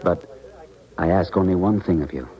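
A man's voice: deep voice, normal speed, lightly acted. An actor playing an earnest, insistent male scientist in a 1970s radio drama. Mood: persuasive. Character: earnest, persuasive male scientist pleading with an old friend. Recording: dull, muffled 1970s radio recording.

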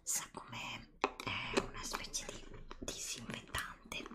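Slow, breathy whispering woman, ASMR. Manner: hushed, slow whispering with pauses and repeated words. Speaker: ASMR video creator. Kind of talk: ASMR product show-and-tell. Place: indoors, close-up before a green screen. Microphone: close ASMR microphone.

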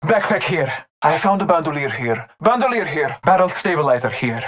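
Video game hunter warrior, slightly high pitch, solemn, devout, formal ceremonial phrasing, short callouts. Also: male voice, very animated, normal speed, a bit bright, a little hiss or hum. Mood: terse, alert.

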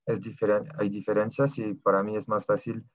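Halting low-voiced Frenchman on a video call. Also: level tone, dry recording. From southern France. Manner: halting, searching for words with many pauses. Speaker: interviewee, Spanish learner. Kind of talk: hesitant conversational answers. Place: small room at home. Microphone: laptop webcam on a video call. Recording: dull lo-fi video call audio.

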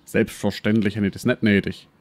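Self-important professor played by a man, low-pitched, Swabian accent, brash and shady, for a comic detective audio drama. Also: level tone, brisk, lightly acted. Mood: explaining. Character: brash, shady male history professor and treasure hunter. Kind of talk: parody detective radio play.

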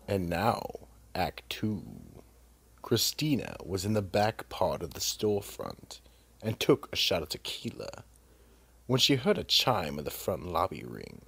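A man with a gravelly, husky voice narrating a scripted mystery radio drama. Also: deep voice, big pitch swings, a little slow, fairly bright tone.

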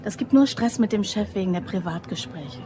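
A woman's voice: medium pitch, animated, a little fast, over music, close to an everyday voice. An actress playing a guarded, teasing young office woman in a German crime radio play. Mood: matter-of-fact, coy.

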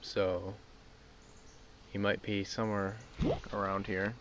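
A man's voice: fairly low pitch, little pitch movement, slow pace. A young man giving casual, informal running commentary to viewers while filming outdoors from a canoe. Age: young adult. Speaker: vlogger filming a canoe trip. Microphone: handheld camera mic. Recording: noisy outdoor handheld camera recording.

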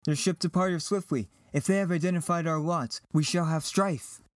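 Guy playing an eager young hero in shakespeare verse, fairly high voice, earnest, dry sound. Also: moderate pace, no echo, close to an everyday voice. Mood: anxious, questioning. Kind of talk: Shakespearean dramatic reading.

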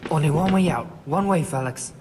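A man's voice: on the high side, brisk, lightly acted. A man voicing a needling asylum inmate in a fan-made horror game mod. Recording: noisy amateur recording.

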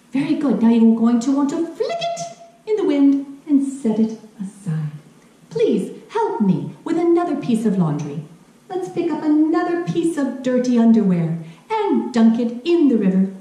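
Here is a woman acting a kindly, excitable Japanese grandmother in a live children's show. Her voice is high and soft-toned, and it swings widely as she addresses the audience with exclamations. The room echoes heavily. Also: heavily acted. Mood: cheerful, instructive. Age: middle-aged. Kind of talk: theatrical children's storytelling. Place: living room at home. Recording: very echoey room, faint music.

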